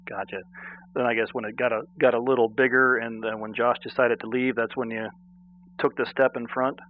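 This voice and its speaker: A man's voice: mid-range voice, flat delivery, brisk. A man conducting a casual phone interview in a chatty, agreeable way, heard over a phone line. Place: phone call.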